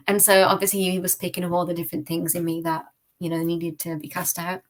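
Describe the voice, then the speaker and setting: A woman's voice: on the low side, flat delivery, fast. A woman from the UK with a British accent, telling a personal story casually as a podcast guest. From United Kingdom. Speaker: podcast guest giving testimony.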